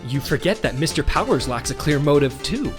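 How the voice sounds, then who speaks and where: A man's voice: a little high, expressive, brisk, music in the background, natural voice. A man playing an earnest young defense attorney in a courtroom game, cocky yet nervous, shifting between dramatic declarations and wry asides. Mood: confident, arguing. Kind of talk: dubbed courtroom drama video game acting.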